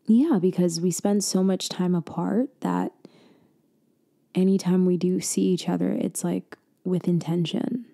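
A woman doing a girlfriend roleplay for ASMR, speaking softly and intimately, slipping in and out of a whisper and often trailing off mid-thought. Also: steady tone, measured pace, creaky. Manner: soft, intimate, drifting between low voice and whisper; hesitant, trailing off and restarting.

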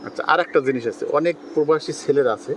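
Quick-asking man, faint outdoor birds. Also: on the high side, a little hiss or hum. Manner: direct, probing questions. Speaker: interviewer. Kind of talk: street interview questions.

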